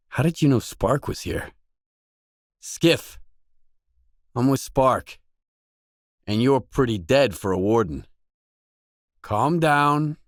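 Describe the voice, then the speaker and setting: An actor playing a guarded, weary mercenary in a video game, speaking in blunt, matter-of-fact lines. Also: male voice, medium pitch, no room sound, clean studio sound. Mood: firm.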